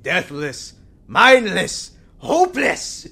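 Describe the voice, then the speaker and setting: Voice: mid-range voice, big pitch swings, takes it slowly, vocal fry, bright, forceful tone, breathy edge, full character voice. An actor playing a pompous, menacing omniscient narrator in an audio comedy drama, portentous and melodramatic, and easily flustered. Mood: grandiose menace. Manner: portentous, melodramatic, dragging out grim pronouncements. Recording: music behind the voice.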